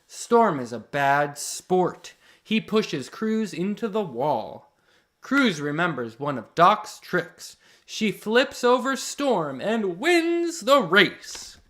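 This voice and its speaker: Animated man reading slowly to children. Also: high-pitched. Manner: slow, simple, expressive reading for children. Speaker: host reading children's picture books aloud. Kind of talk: read-aloud storytelling with friendly asides to listeners.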